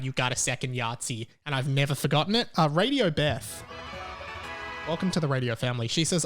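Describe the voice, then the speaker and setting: A young Australian man hosts a casual solo podcast. His voice sits a little higher than most men's. He talks in a rambling, conversational way, often repeating words for emphasis. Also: a bit bright. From Melbourne, Australia. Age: 20s. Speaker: podcast host.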